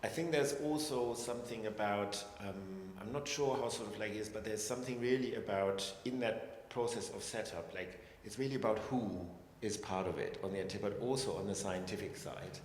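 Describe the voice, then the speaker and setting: Hesitant low-voiced man in echoey hall. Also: fairly quick, few pauses, slight background noise. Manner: hesitant, searching, many restarts. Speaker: scientist and panelist. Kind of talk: reflective panel talk. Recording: echoey hall with long reverb, faint ambience.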